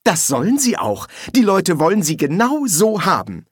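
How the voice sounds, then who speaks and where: Guy doing a whiny sarcastic film boss for an audiobook, super high, swoops all over, snaps at everyone. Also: huge pitch swings, moderate pace, slightly rough, no room sound, clean studio sound, full character voice. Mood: snappish, defensive. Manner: bleating, complaining, snappish. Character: sarcastic, domineering, jammering boss directing film shoots.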